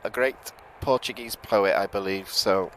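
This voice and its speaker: A man with a British accent giving relaxed live commentary outdoors at an airport, chatting to viewers with frequent ums and self-corrections. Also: on the low side, unhurried, a little hiss or hum, rough recording. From United Kingdom. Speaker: live plane-spotting commentator. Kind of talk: live-stream commentary, reading out viewer chat.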